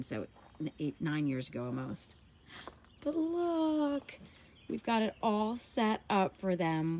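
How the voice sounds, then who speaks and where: A woman in her forties with a stuffy, nasal voice, chatting enthusiastically in a casual garden vlog, filmed outdoors on a handheld phone. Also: fairly low pitch. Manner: chatty and enthusiastic, laughs easily. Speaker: garden vlogger. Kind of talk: casual walk-and-talk vlog. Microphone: handheld phone camera.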